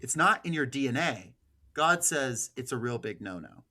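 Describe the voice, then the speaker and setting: Casual mid-pitched man hosting a podcast. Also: lively intonation, normal speed, pushed and bright. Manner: slangy, informal, swearing. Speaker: podcast host. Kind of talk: casual political podcast talk with anecdotes.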